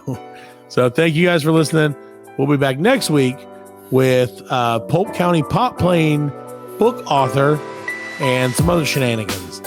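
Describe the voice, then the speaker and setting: A man's voice: on the high side, expressive, measured pace, over music. A man hosting a podcast in casual comedic banter, joking and swearing freely. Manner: joking, profane. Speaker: podcast host.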